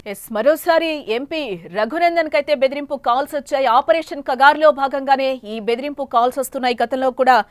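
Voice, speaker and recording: A woman's voice: high-pitched, a little fast, faint music behind. A woman anchoring breaking news on television, partly improvised, with an emphatic, repetitive delivery.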